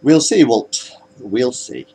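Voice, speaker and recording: A man's voice: mid-range voice, animated, slow, dark tone, slightly rough, full character voice. A man voicing a firm, defiant blue-skinned alien first officer giving clipped commands in a space story. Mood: assertive.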